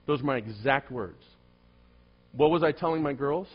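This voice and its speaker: Soft-voiced pastor man, lo-fi sound. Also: mid-range voice, moderate pace, husky. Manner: storytelling, emphatic swings from loud to hushed. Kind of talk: sermon.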